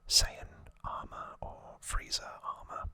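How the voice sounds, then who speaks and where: An ASMR host telling a story in a breathy, unvoiced whisper, gentle, unhurried and informal.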